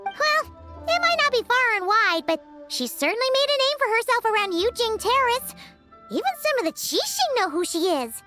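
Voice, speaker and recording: A tiny childlike fairy girl in a video game. Her voice is extremely high and squeaky, bouncing up and down with perky curiosity, over music. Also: sing-song, normal speed. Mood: chatty.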